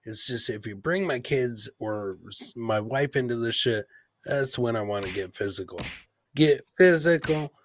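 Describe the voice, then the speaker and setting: A man's voice: medium pitch, animated, unhurried, no echo. A man hosting a podcast, talking in casual live-stream banter with a brash, profanity-heavy manner. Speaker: podcast host.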